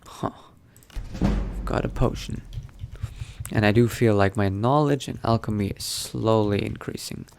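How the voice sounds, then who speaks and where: A man narrating his role-play gameplay in character, musing to himself in an unhurried, gravelly voice. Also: lowish voice, darkish tone, a little hiss or hum. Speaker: gaming video host, role-playing player.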